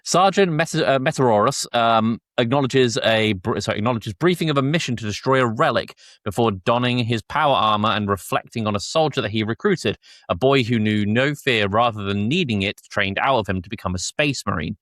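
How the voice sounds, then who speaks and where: A man's voice: mid-range voice, fairly quick, few pauses, fairly bright tone, dry recording, clean studio sound. A man hosting a podcast, speaking casually as he chats and reads out summaries. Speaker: podcast host. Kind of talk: casual chat and reading episode summaries aloud.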